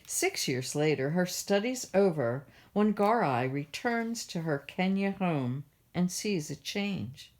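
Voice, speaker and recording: A woman reading a children's picture book aloud as a library storyteller, in a measured, expressive way. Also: on the low side.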